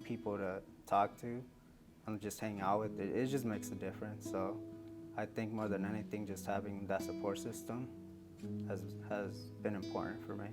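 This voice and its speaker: Relaxed young man, low voice. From Mexico. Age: 20s. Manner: relaxed, many fillers like "um" and "like". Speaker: interview guest, student. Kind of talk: casual interview answers. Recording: guitar music playing underneath.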